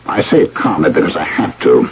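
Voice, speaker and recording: Spiteful, swooping, sardonic radio husband. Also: male voice, deep voice, big pitch swings, creaky, exaggerated voice. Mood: cold, gloating. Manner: sardonic, deliberate contempt. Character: spiteful, bitter, mocking jealous husband. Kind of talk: old-time radio drama acting. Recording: muffled old radio recording with hiss.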